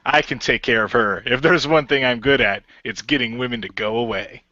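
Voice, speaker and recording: A man's voice: mid-range voice, a little fast, bright, forceful tone, no room sound, muffled recording, lightly acted. A man voicing a hapless, flirtatious guy in a role-playing radio play, stammering, wheedling and whiny. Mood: cocky. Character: bumbling, hapless flirtatious man named David.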